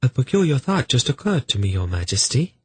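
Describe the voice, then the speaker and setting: A man's voice: mid-range voice, very animated, brisk, breathy edge, no room sound, voice pushed up. A man voicing an impish, shrewd young woman of the court in a storybook, courteous and slyly teasing. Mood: sly, probing. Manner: bright, courteous, slyly probing.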